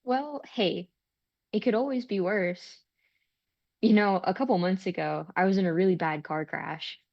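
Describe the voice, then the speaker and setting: A dry, slightly dull recording of an actress playing a kind young woman at a table read. She is earnest and relentlessly optimistic, cheerful even when she hesitates over her words. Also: medium pitch, moderate pace, creaky, dry recording, lightly acted. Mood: gleeful, bright. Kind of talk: script table read, naturalistic acting.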